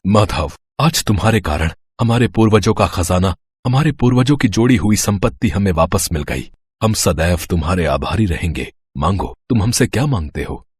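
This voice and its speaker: A solemn elder of authority from a cartoon fairy tale, voiced by a man. The voice is very low and raspy, with formal, weighty phrasing. The recording is dry, without room sound. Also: expressive, normal speed, dry recording, exaggerated voice. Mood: grateful. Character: solemn, deep-voiced elder men of authority: judge, kings, cursing beggar. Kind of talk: Hindi moral-story cartoon voice acting.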